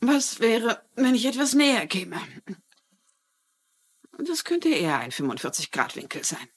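Eine Schauspielerin spielt eine kluge, selbstsichere Amateurdetektivin mittleren Alters in einem synchronisierten Fernsehkrimi, bestimmt und logisch argumentierend. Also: female voice, on the high side, sing-song, measured pace, breathy edge, full character voice. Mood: reasoning. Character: shrewd, self-assured middle-aged lady sleuth. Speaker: lead actress. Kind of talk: German-dubbed TV mystery dialogue.

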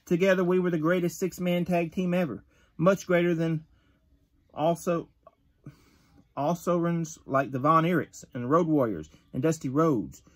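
A man in his thirties reading a wrestling magazine interview aloud at home, gruff and tough-talking, with the odd stumble, recorded on a phone camera at close range. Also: on the high side, measured pace. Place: bedroom with shelves of collectibles.

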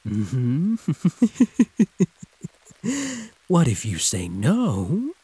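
A hulking male werewolf, played by a man, purrs possessively in an intimate audio roleplay. His voice is extremely husky, with swooping pitch and an unhurried drawl. He teases like a predator toying with prey. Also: wildly swooping pitch, warm tone, no echo, full character voice. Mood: predatory, hungry. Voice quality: husky, breathy. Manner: drawling, teasing pet names, predatory purr. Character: possessive, growling male werewolf prisoner, huge and teasing.

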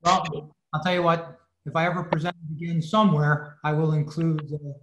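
A man's voice: on the high side, flat delivery, a little fast, lo-fi sound. Male club presenter, explanatory tone, very roomy video-call sound with slight background noise. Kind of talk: explaining in group discussion. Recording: echoey, noisy video call.

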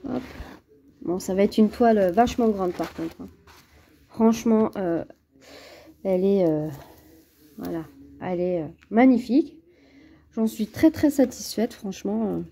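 Slow, dark-voiced woman with a cold. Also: medium pitch, expressive, creaky, slight background noise. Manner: hesitant, many fillers and restarts. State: has a cold. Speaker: crafts vlogger unboxing a kit. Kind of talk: casual unboxing chat to camera. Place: at home, at a table.

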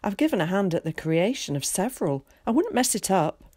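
A woman's voice: medium pitch, very animated, dry recording, clean studio sound. Woman voicing a chatty eager schoolboy. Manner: quick, bright, well-spoken chatter.

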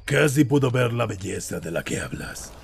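A man voicing a gruff, sardonic stalker in a Spanish video game dub, needling his companions. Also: medium pitch, sing-song, normal speed, background noise. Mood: pensive. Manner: sarcastic, needling. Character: gruff, teasing male stalker at a campfire, sardonic.

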